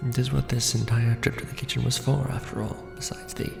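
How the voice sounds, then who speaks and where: A man playing a courtly, brooding vampire lord in an ASMR roleplay, speaking formally and deliberately in a hushed, breathy, gravelly voice. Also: mid-range voice, little pitch movement. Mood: polite, gentle. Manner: formal, hushed, deliberate, theatrical aristocratic address. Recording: roomy echo under background music. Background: music.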